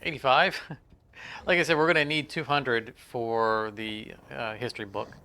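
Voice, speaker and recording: A man's voice: mid-range voice, fairly even intonation, a little slow, pushed and bright, slight background noise. A man hosting a gaming video, giving a casual, informal, explanatory walkthrough. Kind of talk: casual game walkthrough commentary.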